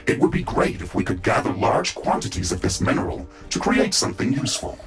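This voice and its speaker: A man playing a calm, dutiful mecha suit AI in a video game, speaking formally and with measure through a robotic filter. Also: fairly low pitch, brisk, over music. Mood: matter-of-fact. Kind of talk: scripted video game dialogue.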